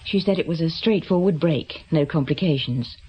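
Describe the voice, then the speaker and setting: A man's voice: on the high side, normal speed, a little mellow, breathy edge, rough recording. A British man acting in a radio drama as a concerned, inquisitive visitor with short, direct questions. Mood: concerned, curious. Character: concerned, questioning close visitor of an injured investigator.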